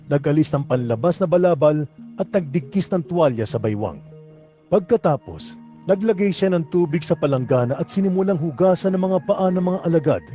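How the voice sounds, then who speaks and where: A man's voice: fairly high pitch, mellow. A man narrating scripture for an audio drama in a scripted storytelling style.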